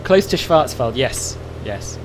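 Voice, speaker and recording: A man's voice: mid-range voice, slow pace. A man who speaks English natively, chatting casually and politely as host of a flight simulator livestream and reading out viewer comments. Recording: clear steady background hum.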